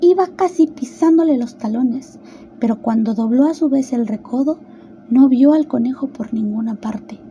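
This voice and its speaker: Woman narrator, high voice, very mellow dark tone, scripted storybook radio narration, faint background noise. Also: normal speed, muffled recording.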